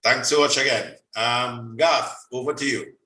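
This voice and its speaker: Bright-voiced host in an echoey room. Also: male voice, medium pitch, fairly even intonation, unhurried, far from the mic. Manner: chatty, hands over the floor. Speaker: host of a radio club meeting. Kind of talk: hosting an online meeting. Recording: echoey room, voice from away from the mic.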